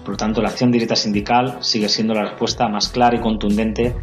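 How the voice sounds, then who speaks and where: A man's voice: lowish voice, little pitch movement, quick pace. A man explaining labour issues in a serious, expository style, as a union member answering questions. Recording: clear music bed behind the voice. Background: music.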